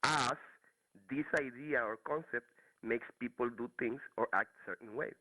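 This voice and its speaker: A man's voice: mid-range voice, a little slow, bright, forceful tone, poor audio. A man from Mexico with a Mexican Spanish accent, calling in to a talk show and searching for words with many restarts. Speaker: caller. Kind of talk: casual call-in chat.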